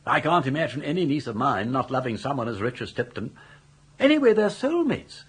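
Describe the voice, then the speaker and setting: An actor playing a jaunty, scheming older English gentleman in a radio comedy, garrulous and grandly worded. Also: medium pitch, sing-song, a little fast, breathy edge. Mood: breezy, self-satisfied. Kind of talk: radio comedy drama acting.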